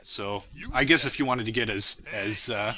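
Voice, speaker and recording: A young man with a strikingly bright, sharp voice gives an informal commentary on his own work. He rambles reflectively and pokes fun at himself. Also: fairly low pitch, moderate pace. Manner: self-deprecating, rambling, reflective. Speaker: creator of a fan parody series, commentator.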